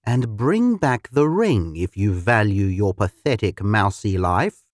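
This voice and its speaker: A man's voice: fairly low pitch, very animated, takes it slowly, no room sound, clean studio sound, voice pushed down. A man voicing a skinny, hungry female cat who snarls and threatens, in a storybook reading. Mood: menacing.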